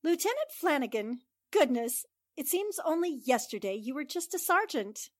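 A woman's voice: sits high, big pitch swings, moderate pace, no echo, studio quality. A woman acting a smart, plucky newspaper reporter, playful and teasing, in a 1940s-style radio drama.

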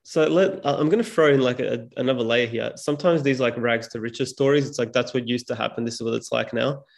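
A man's voice: medium pitch, fast talker, vocal fry. A man whose first language is Serbian, speaking as a podcast guest in a reflective, hesitant way with many fillers. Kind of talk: casual conversation.

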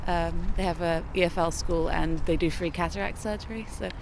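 A woman's voice: lowish voice, steady tone, a little fast, vocal fry, noisy background. A young woman music student who grew up in Sri Lanka, chatting easily outdoors in a snowy park. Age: young adult. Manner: easygoing, chatty. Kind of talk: casual street interview.

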